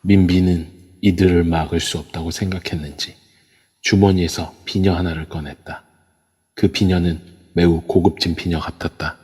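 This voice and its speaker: Deep husky male drama narrator. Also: moderate pace. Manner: steady, storytelling delivery. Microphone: phone in an online voice room. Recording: long reverb on a voice chat app.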